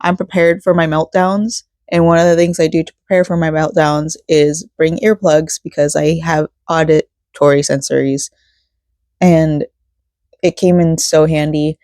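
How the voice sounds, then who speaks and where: An American woman in her thirties chats in a rambling, personal vlog style. Her voice is low, soft-edged and mellow, with a hoarse rasp. The sound is dry, with no room echo. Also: little pitch movement, a little slow. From Florida, United States. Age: 30s. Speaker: vlogger.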